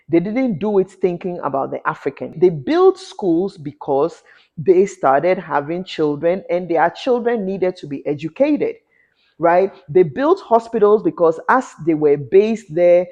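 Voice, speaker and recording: A woman from Ghana, a fashion designer, giving an impassioned commentary vlog that often rises into a rant. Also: darkish tone. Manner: impassioned, ranting, rising in pitch when indignant. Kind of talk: talking-head commentary vlog.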